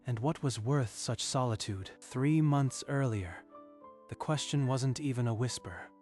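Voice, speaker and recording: A man reading scripted story narration in a measured, literary storytelling manner. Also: mid-range voice, darkish tone, soft background music.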